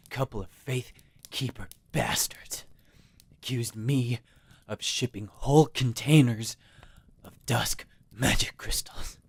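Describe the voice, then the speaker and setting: Wounded, defiant laborer, slow and heavily rasping, bitter audio drama role. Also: male voice, mid-range voice. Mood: weary resentment. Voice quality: raspy, gravelly. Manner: sardonic, labored speech broken by coughs. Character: bitter, dying wounded dockworker, foul-mouthed and defiant.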